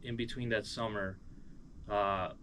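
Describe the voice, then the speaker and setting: A man's voice: fairly low pitch, steady tone, a little slow, a bit bright, a little hiss or hum. A man with an American accent giving a casual, rambling interview as a musician. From moved as a small child from Uzbekistan, raised in Cleveland, USA.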